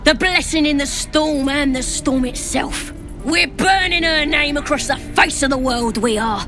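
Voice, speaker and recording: A high-voiced female goblin, cocky and zealous. She talks in a colloquial, wheedling, boastful way, as in a fantasy video game, with music clearly behind her. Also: measured pace. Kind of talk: video game character acting.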